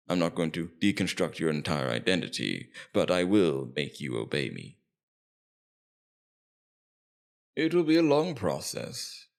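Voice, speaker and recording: A man playing a suave, menacing demon king in an ASMR roleplay, coaxing and condescending in a slow, deliberate, domineering way. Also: low voice, gravelly, full character voice. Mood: calm menace. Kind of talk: ASMR roleplay character acting.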